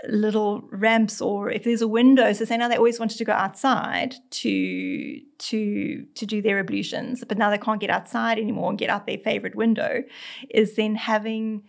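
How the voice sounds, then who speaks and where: A woman's voice: medium pitch, little pitch movement, normal speed, vocal fry. A female veterinarian with a South African accent explaining cat behaviour in a podcast interview, often speaking as the cat in first person and restarting her sentences. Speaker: veterinarian guest. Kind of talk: conversational expert explanation.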